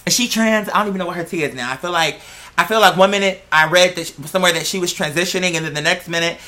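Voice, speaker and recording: An androgynous-sounding American vlog host chatting casually and gossiping in slangy, sassy style, leaning hard on drawn-out stressed words. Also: medium pitch, bright, forceful tone.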